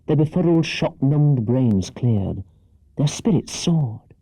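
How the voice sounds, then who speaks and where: Dark, husky male storyteller. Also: mid-range voice, expressive, slow, no echo, poor audio. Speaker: audiobook narrator. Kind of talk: dramatic scripted children's story narration.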